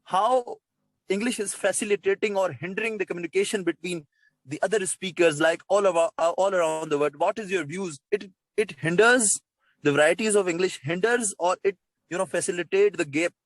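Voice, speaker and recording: A man's voice: high voice, a little fast, no room sound, low-quality recording. A man from Pakistan with a Pakistani accent and Urdu as his native language, interviewing informally with hesitant, repetitive, wordy questions.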